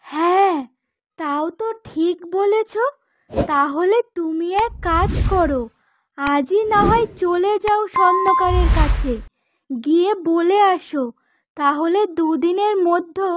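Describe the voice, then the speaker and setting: A woman's voice: very high voice, slow, background noise. A woman voicing a bossy young or married housewife in a cartoon, pointed and questioning in manner. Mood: persuasive. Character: curt daughter-in-law, or bossy persuasive wife. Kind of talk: cartoon dubbing.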